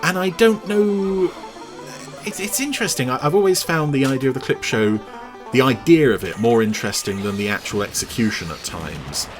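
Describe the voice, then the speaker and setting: Animated male reviewer over loud music. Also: medium pitch, moderate pace. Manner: enthusiastic, rambling. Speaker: podcast reviewer. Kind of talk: commentary over cartoon clips.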